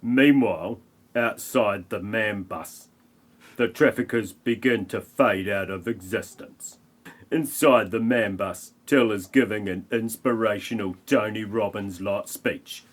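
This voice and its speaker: A man's voice: on the low side, measured pace. A man giving scripted comic narration for an audio play, with a mock-dramatic storytelling manner.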